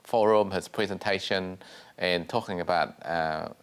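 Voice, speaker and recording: A middle-aged man from Vietnam, speaking English as a second language, hosting a casual business interview show with relaxed, halting speech full of fillers. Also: deep voice, smooth. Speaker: host.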